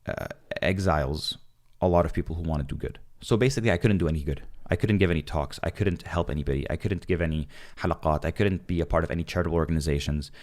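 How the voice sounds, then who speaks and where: A young man from Canada talking in a casual conversation, animated, with many fillers and restarts. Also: rumbling low, fast, few pauses. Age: young adult. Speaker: podcast guest.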